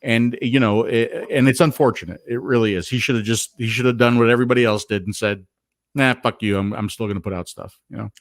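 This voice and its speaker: Male guest, mid pitch, halting frank talk, dry close sound. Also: steady tone, no echo. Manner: frank, halting, repeats words. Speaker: podcast guest. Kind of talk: casual chat.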